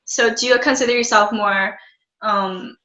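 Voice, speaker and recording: Bright chatty teen girl host. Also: mid-range voice, steady tone, measured pace, dull audio. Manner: chatty, lots of "like" and "um". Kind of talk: casual interview questions. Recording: echoey room.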